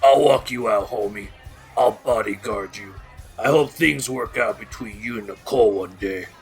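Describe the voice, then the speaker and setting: A man playing a drunk, jovial party host in an audio drama, with gravelly slurred bro talk and mock-grand flourishes. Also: lowish voice, moderate pace, faint music behind, natural voice. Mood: friendly, concerned.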